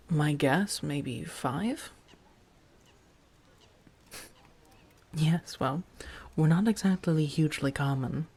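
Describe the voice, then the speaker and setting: A woman playing an affectionate, playful female vampire roommate in a soft-spoken, gently teasing ASMR roleplay. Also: sits low. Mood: thoughtful, candid. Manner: gentle, chatty, teasing, with soft murmurs and breathy asides.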